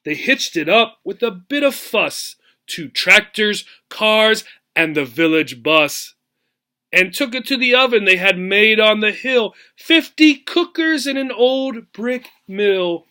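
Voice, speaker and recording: Slow, high-voiced man reading a storybook. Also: lively intonation, pushed and bright. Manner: checks understanding with "right?". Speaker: teacher reading aloud to English learners. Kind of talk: expressive rhyming storybook narration with simple explanatory asides.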